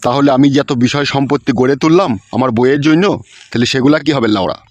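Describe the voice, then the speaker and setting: A man's voice: mid-range voice, fast talker, highs cut off, natural voice. A man voicing an aggrieved, boastful old village husband in a comic cartoon, cursing and grumbling in a rural West Bengal accent. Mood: bewildered.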